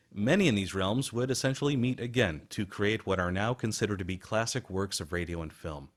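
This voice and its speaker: A man, a radio show host, presenting film and radio history from a script. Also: low voice.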